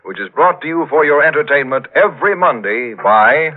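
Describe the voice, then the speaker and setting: A man's voice: fairly high pitch, animated, normal speed, heavy background noise. A man working as a 1940s radio announcer, reading commercial copy with polished, emphatic salesmanship. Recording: vintage 1940s radio, narrow band.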